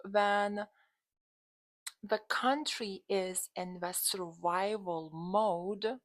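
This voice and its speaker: A woman's voice: medium pitch, a bit bright, no echo. A woman from Lithuania with a Lithuanian accent, talking casually to camera as a coach in an emphatic, conversational way. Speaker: dating and relationship coach, channel host.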